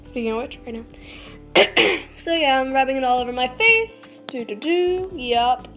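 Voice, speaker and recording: A woman's voice: high voice, animated, unhurried, fairly bright tone, soft background music. A teenage girl with a slightly scratchy voice, chatting casually and rambling through a makeup tutorial in her bedroom, often trailing off into mumbles and self-mocking jokes. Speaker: beauty vlogger. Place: small bedroom by a curtained window.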